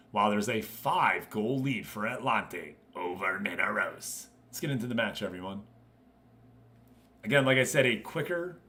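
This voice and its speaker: A man's voice: medium pitch, fairly bright tone. A man hosting a live game stream, giving casual commentary and chatting informally with viewers. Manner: informal, conversational, addressing viewers directly.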